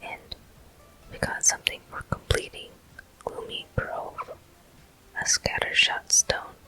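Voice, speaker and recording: A person whispering ASMR game commentary in a deliberate manner, pausing between short phrases. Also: pushed and bright, soft background music, poor audio. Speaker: gameplay host.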